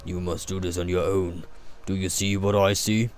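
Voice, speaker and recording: A man voicing a stern female seer who reads bones, speaking in a very deep, cold, commanding voice. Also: little pitch movement, normal speed, slight background noise, lightly acted.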